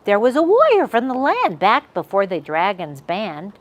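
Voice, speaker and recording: A woman's voice: medium pitch, huge pitch swings, moderate pace. A woman hosting a children's read-aloud, telling a picture-book story expressively to young viewers.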